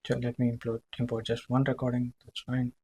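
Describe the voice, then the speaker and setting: A man demonstrating software in a live screen-share walkthrough, speaking haltingly, repeating himself and often checking in with a short tag question. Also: mid-range voice, monotone, a little hiss or hum. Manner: halting, repetitive, checking in with "right?".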